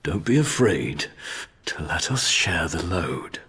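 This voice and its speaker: Husky, low, formal man, a devoted advisor. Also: very animated, exaggerated voice. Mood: solemn, supportive. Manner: formal, measured, hesitant and gentle. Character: grave, devoted young male royal advisor in a fantasy game. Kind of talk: dramatic video game voice acting.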